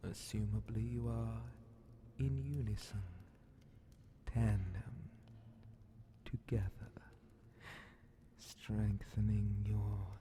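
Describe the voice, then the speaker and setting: A sly demon grand vizier speaks in a low, gravelly half-whisper, drawing out his words very slowly. He is mockingly polite and quietly threatening, in the manner of an ASMR horror fantasy roleplay, with faint noise behind the voice. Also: level tone. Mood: sly, measured. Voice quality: breathy, gravelly, often dropping to a whisper. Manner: slow, theatrical, condescending, half-whispered menace.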